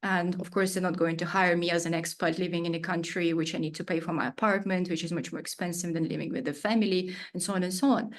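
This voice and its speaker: A woman's voice: on the low side, fairly even intonation, fast talker, few pauses. Woman, native russian speaker, studio founder being interviewed, loose grammar, filler-heavy. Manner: searching phrasing with many fillers and non-native grammar. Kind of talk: conversational interview answers.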